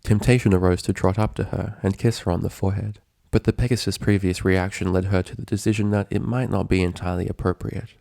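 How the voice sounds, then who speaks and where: A man's voice: low-pitched, fairly even intonation, fast, gravelly, hardly any pauses, warm tone, dry recording, clean studio sound. A man reading a story aloud as a narrator, in scripted literary prose. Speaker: fan fiction narrator.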